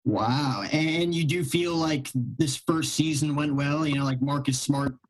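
A man's voice: fairly high pitch, unhurried, a little hiss or hum, low-quality recording. A man hosting an interview in a casual, conversational way, with informal, rambling questions. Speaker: interview host.

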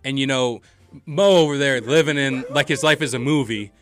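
A man's voice: medium pitch, normal speed. A man hosting a casual gaming podcast, talking in a slangy, hyped-up way. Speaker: podcast host.

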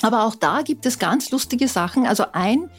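A middle-aged woman, a guest chatting casually in a podcast, self-deprecating and quick to laugh. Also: fairly high pitch, lively intonation, husky, faint music behind. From Austria. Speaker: guest, former member of parliament.

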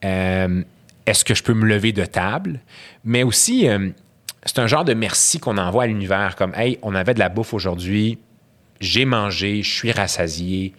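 A man's voice: on the low side, expressive, moderate pace, a bit bright. Reflective young man. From Quebec. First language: Arabic. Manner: reflective, thoughtful, many restarts and "tu sais". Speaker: podcast guest. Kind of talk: casual podcast conversation.

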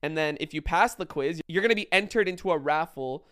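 Молодой мужчина, высокий голос, подкаст. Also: male voice, a little high, brisk, a bit bright. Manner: loose, rambling, repeats himself. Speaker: podcast host. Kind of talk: casual chat. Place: podcast studio room. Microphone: studio podcast mic.

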